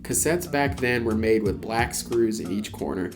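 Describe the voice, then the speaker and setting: A man's voice: on the low side, normal speed. A man explaining collectibles in a casual, conversational vlog, with frequent "you know" and "kinda". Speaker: collector vlogger. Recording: clear background music under voice.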